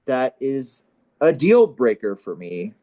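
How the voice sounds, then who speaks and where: A man's voice: medium pitch, very animated, slow, mellow. A man hosting a comedy table read, narrating stage directions and chatting casually. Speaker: comedy show host and script narrator.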